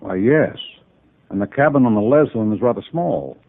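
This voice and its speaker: Businessman, male: stiff, proper, indignant, lower register, old-time radio acting, narrow telephone-like bandwidth. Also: expressive, normal speed, vocal fry. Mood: earnest, insistent. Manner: formal, indignant. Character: stiff, proper businessman, defensive and easily affronted. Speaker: supporting actor in a radio drama. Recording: tinny, band-limited old radio recording.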